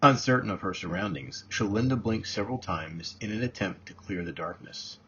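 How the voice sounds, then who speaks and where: A man with a husky voice reading his own novel aloud as scripted narration. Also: fairly low pitch, normal speed, pushed and bright, a little hiss or hum. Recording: dull, muffled lo-fi recording.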